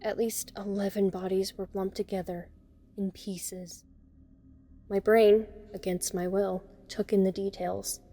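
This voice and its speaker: A woman's voice: medium pitch, level tone, a little slow, mellow. A woman playing a teenage girl who narrates her horror ordeal in the first person, observant and uneasy. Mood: horrified. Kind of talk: first-person dramatic audio story narration.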